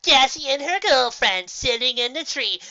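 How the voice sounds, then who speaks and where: A man's voice: very high voice, animated, moderate pace, sharp tone, lo-fi sound, slight background noise, pitched above the performer's own voice, exaggerated voice. A man voicing a cocky, teasing female griffon bully in a storybook reading, with a mocking drawl. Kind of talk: fan fiction storybook reading.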